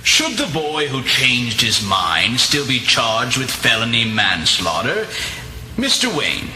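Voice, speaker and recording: An actor playing a stern, pedantic law professor, lecturing formally in a comic-book drama. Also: male voice, mid-range voice, huge pitch swings, slow pace, sharp tone, slight background noise, heavily acted. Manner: formal, didactic. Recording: echoey voice with faint music. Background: faint music.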